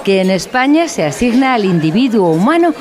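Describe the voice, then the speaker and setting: A woman's voice: medium pitch, very animated, brisk, a little mellow, natural voice. A woman playing a pedantic instructor in a mock-educational radio play, speaking formally and didactically. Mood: solemn, didactic. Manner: formal, didactic, pompous wording. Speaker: narrator in an audio drama.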